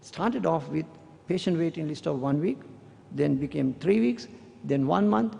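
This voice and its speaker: Sri Lankan English-accented male surgeon, slightly high voice, formal and deliberate, echoing through a lecture hall. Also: expressive, gravelly, mellow, a little hiss or hum. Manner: measured, deliberate delivery with slow emphatic stretches. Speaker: surgeon giving an academic address. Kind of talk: formal prepared speech, reading a long quotation. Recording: long hall reverb.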